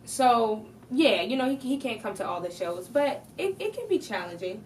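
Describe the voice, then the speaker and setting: A woman's voice: on the high side, sing-song, moderate pace. A woman singer being interviewed on a podcast, bubbly and giggly as she talks casually. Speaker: singer in a group. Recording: distant mic with faint noise.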